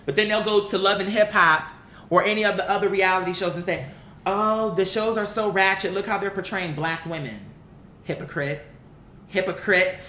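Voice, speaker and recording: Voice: fairly even intonation, normal speed, pushed and bright. An online commentary host giving a blunt, opinionated, casual rant to viewers, swearing freely and repeating words for emphasis. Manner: blunt, profane, emphatic repetition. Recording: roomy echo, faint rumbling noise.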